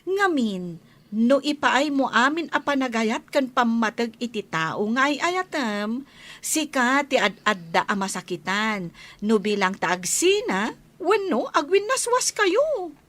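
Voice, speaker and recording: A woman's voice: on the high side, sing-song, measured pace, fairly bright tone. An actress with an Ilocano accent playing a chatty, blunt woman friend who gives advice in a radio drama. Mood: earnest advising.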